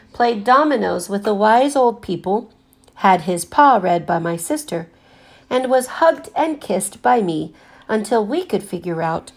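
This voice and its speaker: A woman with a mid-pitched voice reads a children's picture book aloud as a teacher would for her class. Her delivery is expressive, with deliberate emphasis on the words that matter to young listeners.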